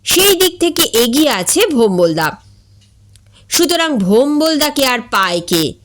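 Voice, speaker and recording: A woman reads a comic story aloud at a slow, deliberate pace. Her narration is expressive, with a lively, animated pitch and a slightly high voice. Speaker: story reader.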